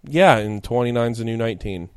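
A man in his late twenties chatting loosely as a co-host, joking around and leaning on fillers. His voice sits at an ordinary middle pitch. The sound is close and dry, with no room around it. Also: brisk, clean tone, dry recording. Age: 20s. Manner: joking, animated, lots of fillers. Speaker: podcast co-host. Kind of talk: casual chat.

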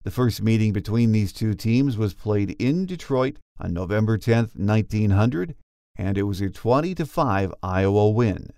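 A man's voice: fairly low pitch, animated, moderate pace, creaky, mellow, no room sound, clean studio sound. An American man hosting a sports podcast, moving between chat and scripted notes. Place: dry studio.

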